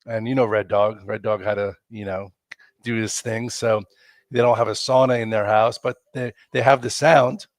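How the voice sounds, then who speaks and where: A man's voice: on the low side, little pitch movement, moderate pace, no echo. A man, congested and losing his voice, hosting a chatty, rambling live stock market show with lots of fillers. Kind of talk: casual live market commentary.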